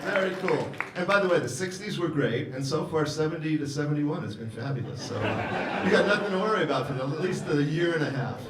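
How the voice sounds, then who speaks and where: A man's voice: a little high, fairly quick, recorded from a distance, heavy background noise. A man in his seventies, a singer-songwriter joking with the audience between songs at a live concert. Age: 70s. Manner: relaxed, joking, talks to the audience. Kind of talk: chatty stage banter between songs. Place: music hall stage at a concert. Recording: roomy live venue with loud music. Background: guitar and live music.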